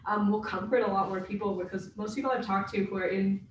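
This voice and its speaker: Young adult co-host from the United States, mid pitch, careful and self-deprecating, far off mic with a faint hiss. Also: fairly even intonation, quick pace, lo-fi sound. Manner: thoughtful, hedging, self-deprecating. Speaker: podcast co-host. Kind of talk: casual podcast chat. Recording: very echoey, distant from mic.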